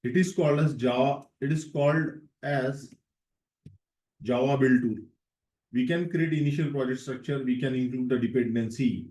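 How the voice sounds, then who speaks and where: A man's voice: medium pitch, normal speed, dark tone, rough recording. A young man with an Indian English accent teaching a live online tech lesson, repeating himself and often checking that students follow. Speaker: DevOps instructor.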